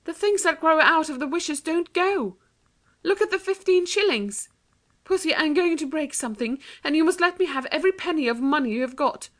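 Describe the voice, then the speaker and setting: An anxious, bossy young girl talks fast and urgently in a storybook reading, voiced by a woman. The voice sits high and bright above the narration. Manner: urgent and excitable.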